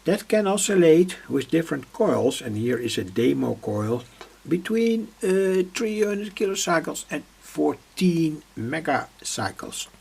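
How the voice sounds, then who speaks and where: Male hobbyist demonstrator, creaky low-key delivery, slow and halting, explaining a bench setup off the cuff. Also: lively intonation, a little mellow. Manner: halting, searching for words, explanatory. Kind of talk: unscripted workbench demonstration vlog. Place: indoor hobby workbench.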